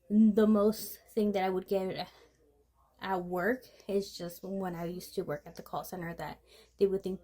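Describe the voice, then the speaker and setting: A woman's voice: mid-range voice, mellow. A woman who works as a medical assistant, talking casually in an interview with frequent ums and restarts. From United States. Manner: conversational, with many ums and restarts.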